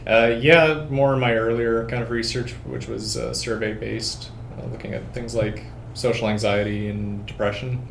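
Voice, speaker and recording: A young Canadian man answering interview questions in a measured way, with many hesitations. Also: mid-range voice, fairly even intonation, vocal fry, reverberant, background noise. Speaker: panel guest, researcher.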